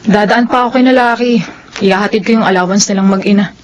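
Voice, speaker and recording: An actress with a Filipino accent playing a guarded young tomboy, resistant and plaintive, in a radio drama. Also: female voice, mid-range voice, steady tone, fast talker, close to an everyday voice. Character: guarded young tomboy who identifies as male.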